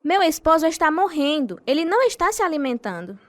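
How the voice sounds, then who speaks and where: High-voiced devoted wife. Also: female voice, very animated, brisk, dry recording. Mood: pleading, firm. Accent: Brazilian. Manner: earnest. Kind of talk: dramatized radio testimony narration.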